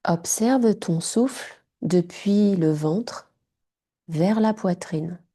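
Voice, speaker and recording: A woman guiding a yoga nidra relaxation in short, hushed, soothing phrases. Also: fairly low pitch, drawn-out words, breathy edge. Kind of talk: guided meditation.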